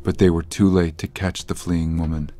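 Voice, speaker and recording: A man narrating a scripted horror audio drama in a measured, literary storytelling manner. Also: deep voice, little pitch movement, warm tone.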